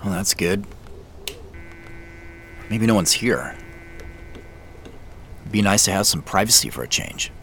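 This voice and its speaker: A man with an American accent playing a reflective, wry solitary traveler who narrates an audio drama in the first person, with measured storytelling and muttered casual asides. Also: low voice, expressive, fairly bright tone, a little hiss or hum, full character voice. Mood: wry, musing. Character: male solitary traveler, reflective, wryly talking to himself. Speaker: narrator and lead character. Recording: music behind the voice.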